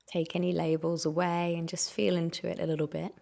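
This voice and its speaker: A woman with a lowish voice and a distinct creak guides a meditation. She speaks in gentle, unhurried phrases, offering instructions as soft invitations and questions. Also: level tone.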